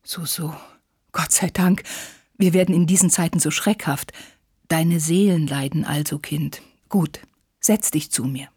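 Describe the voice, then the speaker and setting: Woman reading as a weary, soft-voiced old countess. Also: low voice, a little slow, slightly rough, no room sound, clean studio sound, full character voice. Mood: gently attentive. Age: older. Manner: patient, gentle. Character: frail, stooped old countess, tired, patient aunt.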